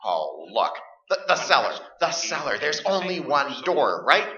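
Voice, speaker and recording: A dull, echoey stage recording of a radio play. An actor plays a combative middle-aged man with a very sharp, high voice. He is stubborn and scared, and he stammers as he argues. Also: sing-song, a little slow. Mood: insistent, agitated. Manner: argumentative, agitated, stammering. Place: live stage performance.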